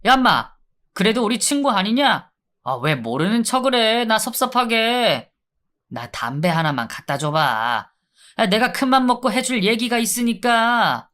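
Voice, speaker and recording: Guy putting on a whiny junkie convict, taunting then begging, high-ish and loud, bright sharp tone. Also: big pitch swings, a little slow, full character voice. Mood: wheedling. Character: sneering, wheedling male convict in withdrawal.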